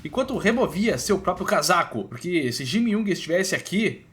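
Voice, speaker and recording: High-pitched, animated Brazilian man narrating. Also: normal speed. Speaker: recap narrator. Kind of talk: scripted story narration of a comic recap. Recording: faint music under the voice. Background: faint background music.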